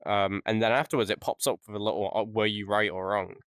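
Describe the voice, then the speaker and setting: A man with a fairly low voice co-hosts a podcast. He chats casually, thinking aloud in a hesitant way. The sound is dry, without room echo. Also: fairly even intonation, quick pace, fairly bright tone, clean tone. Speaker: podcast co-host. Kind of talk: casual chat.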